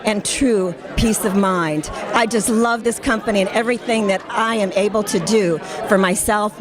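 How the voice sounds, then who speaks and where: A woman from Oklahoma gives a gushing, enthusiastic testimonial. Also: mid-range voice.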